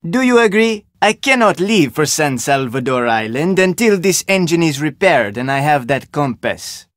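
A guarded fisherman who wants to be left alone, in an adventure game. He has a Jamaican accent and a fairly high male voice. His phrasing is formal and curt, in a very dry recording. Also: sing-song, normal speed, fairly bright tone, dry recording. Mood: measured, bargaining. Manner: terse, formal phrasing without contractions. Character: guarded, solitary male island fisherman, terse and suspicious. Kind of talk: video game character dialogue.

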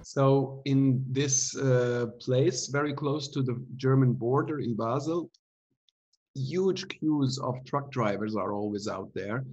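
A man in his forties, a theatre director, giving a relaxed talk over a video call from a room at home, explaining with many ums and uhs. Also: mid-range voice, takes it slowly. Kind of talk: casual online talk. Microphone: laptop webcam video call.

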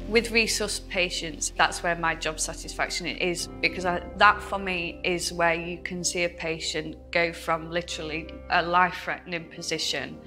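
A woman's voice: lowish voice, fairly even intonation, moderate pace, hardly any pauses, bright, forceful tone. Woman, Yorkshire accent, A&E nurse, matter-of-fact, background music. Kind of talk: on-shift talk and interview.

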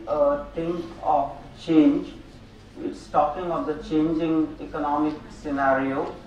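An older man speaks slowly from a lectern in a large, very echoey auditorium, his voice picked up from a distance. He pauses often and fills gaps with hesitations as he walks through his slides. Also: a little high. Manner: slow, halting lecture with frequent fillers. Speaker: keynote speaker. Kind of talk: academic conference keynote. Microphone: podium microphone, room sound. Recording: echoey hall, voice from afar, faint noise.

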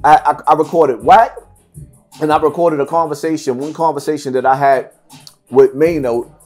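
A man's voice: a little high, steady tone, moderate pace, a little mellow, soft background music. A middle-aged man giving blunt, emphatic interview answers, repeating phrases for stress. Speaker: podcast guest. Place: seated at table before branded backdrop, indoors.

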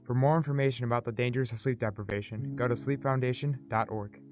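A man's voice: mid-range voice, fairly even intonation, fast, over music. A man reading a scripted public service announcement as an announcer.

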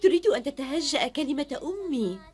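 A devoted young female teacher in a cartoon drama. Her voice sits extremely high. She speaks with earnest, tender expressiveness. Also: lively intonation, brisk, a little mellow. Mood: tender, pleased. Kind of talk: dubbed cartoon drama acting.

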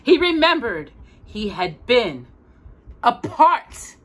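Bright, animated woman reading a storybook. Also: fairly high pitch, takes it slowly. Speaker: storytime host reading aloud. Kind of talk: expressive children's storybook reading. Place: small room at home with bookshelves.

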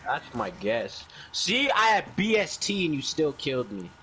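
A man's voice: on the high side, sing-song, normal speed, pushed and bright, a little hiss or hum. Young guy swearing through game banter. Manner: swearing, teasing. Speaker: video game player. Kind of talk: casual gaming banter.

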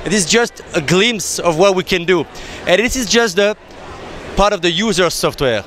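A man's voice: sits high, animated, moderate pace, fairly bright tone, a little hiss or hum. A man in his thirties from Belgium, with a Belgian accent, giving an eager, persuasive product demo on a trade show floor into a handheld mic. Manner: eager, persuasive, slightly non-native phrasing. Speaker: exhibitor demonstrating a photo booth. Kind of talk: energetic product demo and sales pitch. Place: crowded trade show hall, indoors. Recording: crowd chatter from a busy expo floor.

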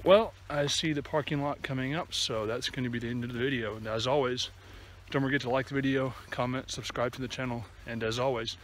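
A man's voice: mid-range voice, fairly even intonation, a little fast, few pauses. Casual man vlogging outdoors over noise. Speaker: hiking vlogger. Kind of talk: casual vlog chat to camera while walking. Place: outdoors on a wooded trail and open meadow. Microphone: phone or camera at arm's length. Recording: outdoor recording with clear ambient noise.

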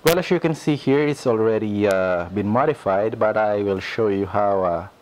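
A middle-aged man casually demonstrating an electronics modification at home, talking hesitantly with many pauses. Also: on the low side, a little mellow, a little hiss or hum, rough recording. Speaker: electronics hobbyist. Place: home room indoors.

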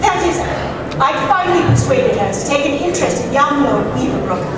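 A woman's voice: sits high, lively intonation, fairly quick, a loud crowd. A woman playing a melodramatic, flustered middle-aged society lady in a live radio play, genteel and theatrical. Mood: worried. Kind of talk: old-time radio play performed live from scripts. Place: live stage in a dim hall before an audience. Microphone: stage mic through speakers, picked up by far camera. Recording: echoey hall from far off, audience noise.

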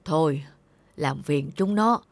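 Gentle, doting old grandfather. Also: medium pitch, slow. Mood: wistful, stubborn. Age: older. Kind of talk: animated film dialogue. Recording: music under the dialogue.

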